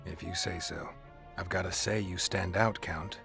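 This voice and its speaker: A man voicing a gruff, world-weary monster hunter in a video game, deadpan and laconic. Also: deep voice, normal speed, exaggerated voice. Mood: wry, guarded. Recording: music behind the dialogue.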